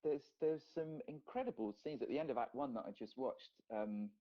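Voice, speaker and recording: A man's voice: medium pitch, moderate pace. A man, an actor guest chatting casually and jokingly about old memories on a live video call. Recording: slightly dull livestream call audio.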